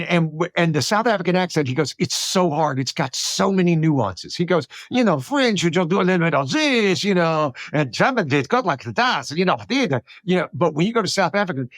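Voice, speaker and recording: A man's voice: on the high side, no room sound, clean studio sound. A man from Alabama hosting a podcast interview, chatty and eager, often stumbling and restarting his questions. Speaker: podcast host.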